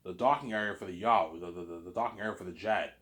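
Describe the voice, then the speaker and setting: A man's voice: deep voice, fast talker, a bit bright. A man with a slight Canadian accent talking in a rambling casual monologue, often restarting and tagging on "obviously". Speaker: vlogger.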